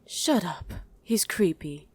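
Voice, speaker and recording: A woman's voice: lowish voice, sing-song, slow, slightly rough, no echo, full character voice. A woman playing a wry, easily irritated demon in a conversational ASMR roleplay, chatting and bickering with a partner. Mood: wry, defensive. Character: female demon on mortal-hunting duty, wry, easily irritated. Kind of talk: ASMR roleplay acting to an unheard listener.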